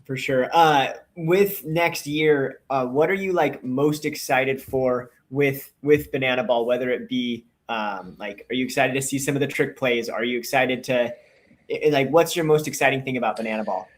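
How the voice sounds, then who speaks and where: A man's voice: medium pitch, moderate pace. A man hosting a podcast, asking a guest questions in an upbeat, encouraging way. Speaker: podcast host. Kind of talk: podcast interview.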